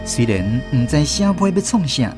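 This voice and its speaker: A man as narrator of a radio drama, looking back on a village boyhood. His voice sits a little high and moves in lively tones, with a Taiwanese accent, over soft background music. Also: moderate pace. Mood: reminiscing. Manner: storytelling, reminiscing. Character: grown man recalling his village childhood.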